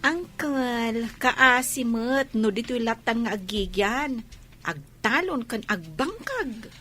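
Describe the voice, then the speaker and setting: A female actor as a persuasive small-town shopkeeper with a very high voice. She coaxes and pleads in the style of a village radio comedy. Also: expressive, slow, sharp tone, exaggerated voice. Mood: pleading. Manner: coaxing, pleading. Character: persuasive, coaxing female cousin who runs a town boutique.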